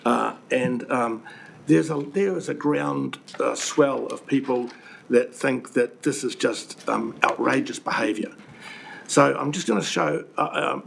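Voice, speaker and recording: Croaky older New Zealand man, indignant. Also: medium pitch. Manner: earnest and indignant, many ums and restarts. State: croaky from recent flu. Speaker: presenter addressing a council committee. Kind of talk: public submission to a committee. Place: council meeting room. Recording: webcast with faint room noise.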